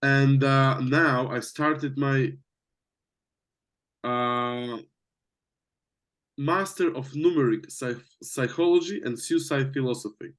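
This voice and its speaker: Casting: young adult male, Latvian accent, native Latvian and Russian speaker, average-pitched voice, hesitant conversational delivery. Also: slow pace, pauses often, a bit bright. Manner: hesitant, searching for words. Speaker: business coach. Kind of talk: casual conversation.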